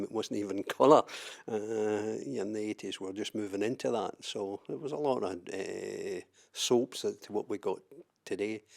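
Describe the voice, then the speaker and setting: Gravelly older Scottish man, low voice. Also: darkish tone. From southwest Scotland. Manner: hesitant, many fillers and repeated words. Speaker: interviewee, trade unionist. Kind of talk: oral history interview.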